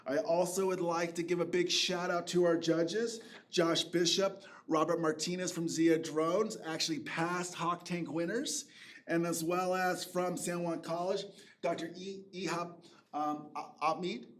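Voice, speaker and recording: A man's voice: a little high, fairly even intonation, measured pace. A man acting as event emcee, reading formal opening remarks and then thanking sponsors loosely with fillers.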